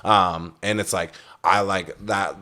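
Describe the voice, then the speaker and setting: Male podcast guest, low register, loose slangy delivery, circles back over phrases, curses freely. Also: steady tone, takes it slowly, sharp tone. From Salt Lake City area. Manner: rambling, repetitive, slangy, swearing freely. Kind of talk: casual conversation.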